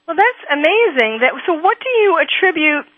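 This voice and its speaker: A woman hosting a radio interview, asking halting, hesitant questions. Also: extremely high pitch, big pitch swings, no room sound. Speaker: radio host. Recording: dry, phone-like radio line.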